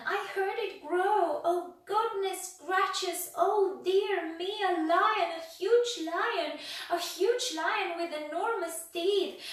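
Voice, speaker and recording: A woman's voice: high voice, pitched above the performer's own voice. A woman voicing a timid, jumpy little man who shrieks and stammers in panic, recorded in an echoey room from a distance.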